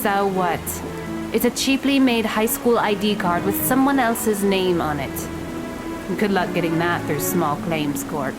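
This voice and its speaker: A woman's voice: medium pitch, measured pace, loud music behind the voice, lightly acted. Woman playing a grumpy, blunt teenage girl. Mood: annoyed, dry. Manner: dry, blunt. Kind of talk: audio drama acting.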